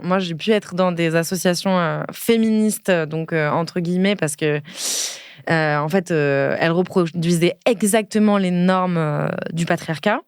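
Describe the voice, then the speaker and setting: A woman in her late twenties from Ardèche, a podcast guest who talks thoughtfully with many pauses and fillers. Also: on the low side, smooth, no echo, studio quality. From Ardèche, France. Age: late 20s. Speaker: guest, feminist speaker and lawyer. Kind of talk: casual podcast conversation.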